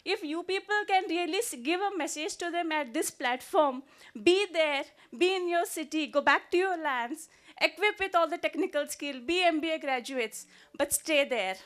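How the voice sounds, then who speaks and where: A woman's voice: very high voice, normal speed, a bit bright. A woman from India, earnestly asking a panel a question from the audience.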